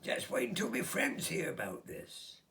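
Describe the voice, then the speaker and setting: A man voicing an excitable young girl princess in a high, trembling voice. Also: a little slow, creaky, far from the mic, a little hiss or hum, heavily acted. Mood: eager.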